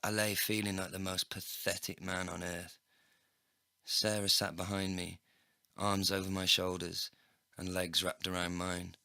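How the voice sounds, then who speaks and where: Low, creaky-voiced male storyteller. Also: flat delivery, a little slow, bright, forceful tone, no echo, clean studio sound. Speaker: narrator in character. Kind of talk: scripted first-person comedy story narration.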